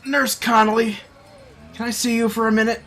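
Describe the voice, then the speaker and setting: A man playing a kindly, modest store Santa who talks in a casual, gentle way. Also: very high voice, flat delivery, fairly quick. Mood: kindly, generous.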